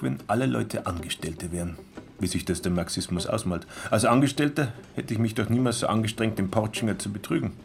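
A self-satisfied petty swindler played by a man muses in a radio play. The voice is gravelly and colloquial, with an Austrian-Bavarian accent. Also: deep voice. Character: smug small-time swindler, a petty-bourgeois man musing to himself.

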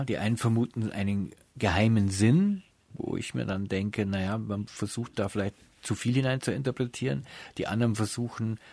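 A man's voice: on the low side, darkish tone, dry recording. A man hosting a radio interview, putting prepared questions and presenting in an orderly way.

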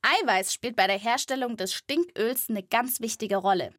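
A woman's voice: a little high, normal speed, fairly bright tone, no echo, clean studio sound. A woman narrating a scripted wildlife story for children, with a vivid, playful storytelling manner. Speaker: host of a children's wildlife podcast.

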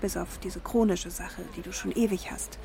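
An actress playing a sober, pragmatic middle-aged wife in a naturalistic radio drama, giving terse, matter-of-fact replies. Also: female voice, on the low side, steady tone, moderate pace, gravelly, mellow. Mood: sober, concerned. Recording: urban traffic ambience.

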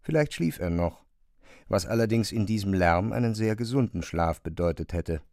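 A man's voice: on the low side, expressive, moderate pace, vocal fry, darkish tone, dry recording, studio quality. A man reading scripted literary narration for an audio drama.

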